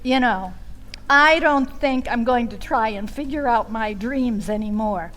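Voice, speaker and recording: A woman's voice: on the high side, measured pace, a bit bright. A woman telling a comic personal story as a performer would on stage. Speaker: storytelling contestant.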